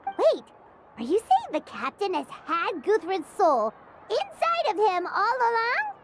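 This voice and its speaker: A woman voicing a small, excitable, childlike fairy companion in a video game, squeaky and bubbly. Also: measured pace, very noisy, heavily acted. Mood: curious, surprised. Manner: bubbly, refers to herself by name. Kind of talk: English video game character acting.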